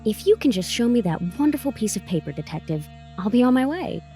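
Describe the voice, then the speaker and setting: An actress playing a brash, self-assured lawyer with breezy, theatrical sarcasm in an audio drama. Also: female voice, on the high side, sing-song, brisk, mellow. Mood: breezy, sarcastic. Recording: faint music behind the voice.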